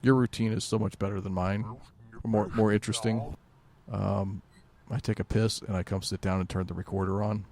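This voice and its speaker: Gravelly, laid-back podcast co-host man. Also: lowish voice, level tone, moderate pace, darkish tone. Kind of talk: casual chat.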